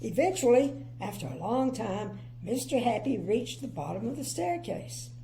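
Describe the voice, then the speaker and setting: An older woman reads a picture book to children in a mellow, slightly high voice with a creaky edge. She moves between expressive storytelling and casual, opinionated asides, with faint noise in the recording. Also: moderate pace. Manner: chatty, gently opinionated commentary. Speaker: grandmother reading bedtime stories.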